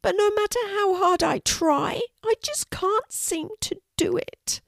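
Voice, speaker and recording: A woman's voice: sits high, big pitch swings, measured pace, vocal fry, darkish tone, no echo, clean studio sound, voice pushed up, exaggerated voice. A woman voicing a tiny, timid five-year-old boy dragon in a children's storybook, childlike, earnest and friendly. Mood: sad, earnest.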